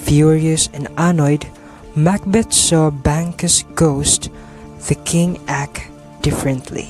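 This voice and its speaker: A man's voice: fairly high pitch, fairly even intonation, slow pace, darkish tone, faint music behind. A man reading scripted story narration for an amateur radio drama.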